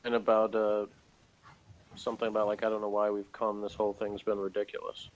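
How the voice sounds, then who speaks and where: Low-voiced man, dry casual commentary. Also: completely flat delivery, a little fast, a little hiss or hum, poor audio. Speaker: co-host commenting on a TV review. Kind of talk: casual chat.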